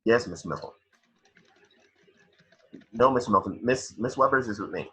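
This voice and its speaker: A man playing a cool, no-nonsense police detective in an amateur horror script reading, with a husky voice. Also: medium pitch, expressive, unhurried, bright, forceful tone, exaggerated voice. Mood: matter-of-fact.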